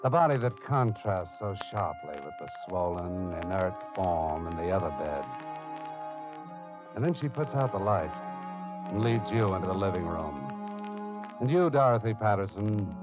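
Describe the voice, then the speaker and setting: A man playing a solemn, deliberate narrator in an old radio drama. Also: low-pitched, lively intonation. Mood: hushed, grave. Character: solemn male narrator addressing the heroine as you. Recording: muffled old radio recording. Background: music.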